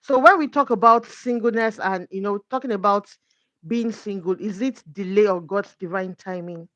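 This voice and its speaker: A woman's voice: medium pitch, normal speed, a bit bright, no echo. A woman talks as a guest coach, earnest and careful, working through her points with examples. Her voice sounds dry and a little dull, with no room around it. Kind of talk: conversational faith talk.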